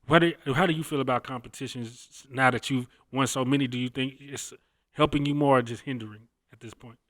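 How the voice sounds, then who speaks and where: A man's voice: mid-range voice, steady tone, a little fast, a bit bright. A man interviewing a guest in a casual, conversational way, with some slang.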